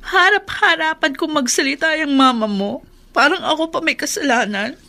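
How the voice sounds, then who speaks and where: A woman's voice: high-pitched, animated, normal speed, sharp tone, exaggerated voice. A Filipino woman playing a long-suffering, hurt young wife in a radio drama, with a plaintive, emotional delivery. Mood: hurt, pleading.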